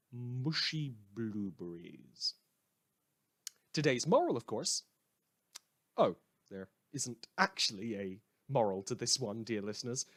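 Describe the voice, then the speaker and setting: A man hosting a scripted fictional radio show, telling it in a measured, wry and theatrical way. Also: fairly low pitch.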